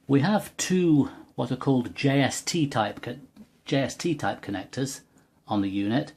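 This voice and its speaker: A man's voice: mid-range voice, lively intonation, normal speed, a little mellow, slight background noise. A man giving a methodical, precise step-by-step technical demonstration as a tutorial presenter. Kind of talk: step-by-step hands-on product demonstration.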